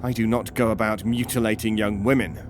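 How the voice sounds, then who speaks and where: A gentleman doctor acted by a man in a horror drama, in a slightly low register. He is clinical and formal, with uneasy indignation held in check, over clearly audible music. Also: fairly even intonation, brisk, natural voice. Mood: indignant. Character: uneasy, stern British male doctor interrogating a prisoner. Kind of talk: audio horror drama acting.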